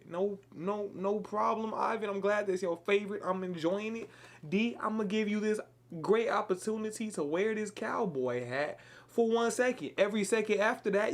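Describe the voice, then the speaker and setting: A man live-streaming a game in casual chat, playful and teasing, greeting viewers by name. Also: sits high.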